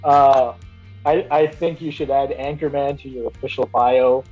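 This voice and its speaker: Male host, husky voice, chatty and enthusiastic, dull lo-fi recording. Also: medium pitch, fairly even intonation, unhurried, faint music behind. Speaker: show host. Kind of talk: upbeat hosting banter.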